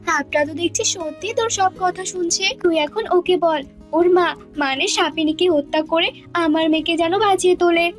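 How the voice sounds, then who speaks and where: A woman's voice: extremely high pitch, fairly quick, soft background music. A woman voicing an anxious, protective queen mother in a cartoon, fretful and insistent. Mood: urging.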